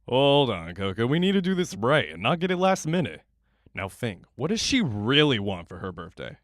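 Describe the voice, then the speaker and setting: A man's voice: mid-range voice, sing-song, moderate pace, no room sound, studio quality, lightly acted. A man voicing a cocky, sarcastic blind teenage boy in a fan audio drama.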